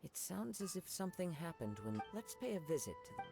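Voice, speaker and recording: An actor playing a calm, modest young wandering samurai in a video game, soft-spoken and formal. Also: male voice, high-pitched, a little fast, creaky, full character voice. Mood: thoughtful. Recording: music behind the voice.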